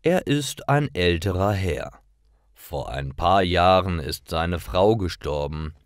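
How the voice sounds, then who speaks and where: Man, very low voice, lively intonation, deliberate read-aloud storytelling for learners, dry close sound. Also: no echo. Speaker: narrator of stories for language learners. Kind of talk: scripted narration, slow read-aloud learner stories.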